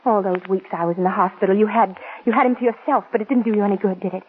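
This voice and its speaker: An actress playing a possessive, jealous young wife in an intense, melodramatic 1940s radio drama style. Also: female voice, medium pitch, rapid-fire, close to an everyday voice. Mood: jealous, accusing. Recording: muffled, scratchy 1940s radio broadcast.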